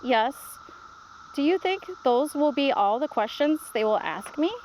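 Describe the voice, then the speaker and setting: A worried, inquisitive young child in a storybook, as read aloud by a woman. The voice is high and full of questions, and there is slight background noise. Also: expressive, a little slow, darkish tone, rough recording. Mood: worried, curious. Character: anxious, inquisitive young grandchild starting kindergarten.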